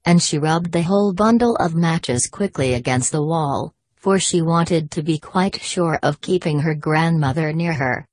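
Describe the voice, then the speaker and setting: Low-voiced woman narrating a fairy tale. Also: unhurried, hardly any pauses, a little mellow, soft background music. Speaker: storyteller.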